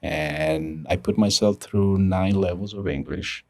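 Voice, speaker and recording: Low gravelly guy, 50s, earnest storyteller, dry close sound. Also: a little slow, darkish tone, dry recording. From Ecuador. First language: Spanish. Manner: earnest, storytelling, frequent restarts and chuckles. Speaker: guest, engineering executive. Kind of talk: casual interview talk.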